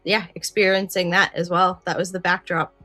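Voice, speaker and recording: A woman co-hosting a podcast with an informal, animated, casual chatting style. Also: on the low side, moderate pace, no echo, soft background music. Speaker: podcast co-host.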